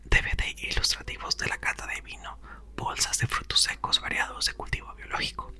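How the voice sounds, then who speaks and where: A man softly whispering a novel aloud in an ASMR reading, close to the mic. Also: brisk. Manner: soft whisper, occasional self-corrections. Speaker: ASMR reader.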